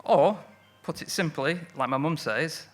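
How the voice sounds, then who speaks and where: A man's voice: fairly high pitch, lively intonation, a little slow, soft background music. A man from Manchester, giving a friendly, self-deprecating conference talk on stage, with many fillers. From Manchester, England. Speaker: lettering artist giving a talk. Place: stage at an evening event.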